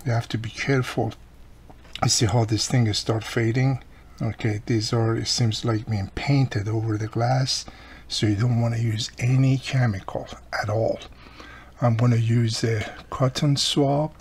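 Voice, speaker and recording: A man's voice: medium pitch, a little hiss or hum. Patient man explaining a repair. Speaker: radio repair technician. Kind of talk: hands-on repair tutorial. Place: workbench, indoors.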